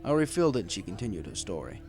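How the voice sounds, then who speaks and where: Low-voiced wry male storyteller. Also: very animated, racing, darkish tone, soft background music. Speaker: story reader. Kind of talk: first-person scripted fan fiction narration.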